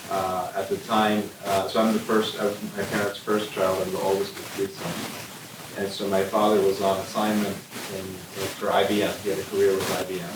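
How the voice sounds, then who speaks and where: A man who makes wine tells a rambling, good-humored story on a panel, often restarting his sentences. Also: sits low. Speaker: winemaker on a panel. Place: event room. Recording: echoey room, distant mic, hiss.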